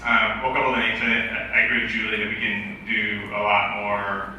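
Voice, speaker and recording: Monotone man on a panel, echoey hall. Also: fairly low pitch, moderate pace. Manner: hesitant, many fillers and restarts, reasoned. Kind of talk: panel discussion. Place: event hall with audience. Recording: echoey hall, distant mic, background noise.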